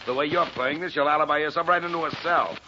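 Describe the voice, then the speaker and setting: Forceful, bullying radio tough guy. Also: on the high side, fast talker. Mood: angry, urgent. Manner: blunt, menacing, rough grammar. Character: huge jealous male tough guy, bullying and hot-headed. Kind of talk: 1940s radio gangster acting. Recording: muffled, faintly noisy 1940s radio recording.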